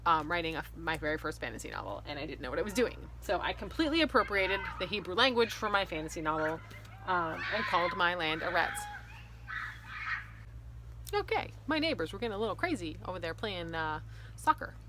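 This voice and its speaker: A woman's voice: fairly low pitch, big pitch swings, brisk. A woman in her thirties or forties talking casually and expressively to viewers as an author hosting her own video. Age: 30s to 40s. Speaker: fantasy author and video host. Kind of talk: casual explanatory vlog to viewers. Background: faint ambient noise.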